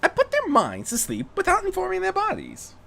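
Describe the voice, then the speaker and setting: A man's voice: very high voice, huge pitch swings, a little fast, gravelly, bright, forceful tone, a little hiss or hum, voice pushed up, exaggerated voice. A man voicing a young female unicorn vigilante, refined, earnest and eager, in a story reading. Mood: pleased, explaining.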